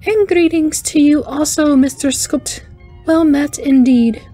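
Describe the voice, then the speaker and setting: A woman's voice: sits high, warm tone, soft background music, voice pushed up. A woman voicing a cheerful, intense female alien spider engineer in an audiobook, with a light chiming voice and courteous, precise speech. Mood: merry, courteous. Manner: brisk, precise, courteous, slightly formal. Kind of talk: audiobook character voice.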